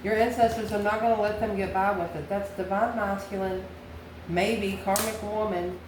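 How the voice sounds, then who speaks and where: A woman's voice: mid-range voice, steady tone, moderate pace. A woman giving an intuitive tarot reading to the viewer, musing aloud in short, repeated phrases and questions. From United States. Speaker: tarot reader, channel host. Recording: echoey room with clear background noise.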